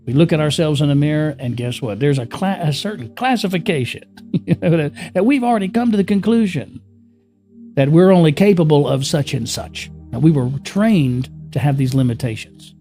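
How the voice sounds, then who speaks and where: A man speaks in a mellow, slightly raised voice, talking loosely and conversationally about spiritual ideas. He repeats words, chuckles often and keeps asking the listener rhetorical questions. Soft music plays quietly behind him. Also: on the high side, animated, moderate pace. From born in the US Northeast, raised in California. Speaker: spiritual teacher. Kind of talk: unscripted spiritual teaching to camera.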